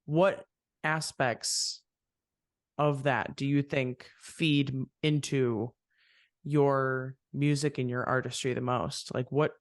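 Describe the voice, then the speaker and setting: Male podcast host, mid-range gravelly voice, reflective and rambling, dry close mic. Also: medium pitch, fairly even intonation, slow pace, no echo. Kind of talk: casual interview chat.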